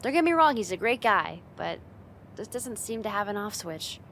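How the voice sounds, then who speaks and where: A woman's voice: mid-range voice, sing-song, moderate pace, a bit bright, a little hiss or hum. A woman playing a friend's teasing older sister in a casual, conversational ASMR roleplay spoken straight to the listener. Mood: chatty, exasperated.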